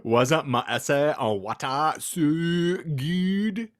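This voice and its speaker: Man acting as giddy amateur fanfic author, high-pitched, exclamatory, stretched words, wildly animated. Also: very slow. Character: gushing, silly fan-fiction author addressing readers.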